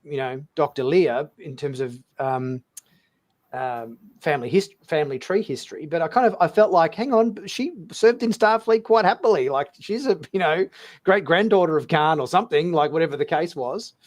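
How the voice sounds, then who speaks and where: A man's voice: a little high, moderate pace. A man hosting a casual discussion, feeling his way through roundabout questions in a hesitant manner. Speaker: talk show host.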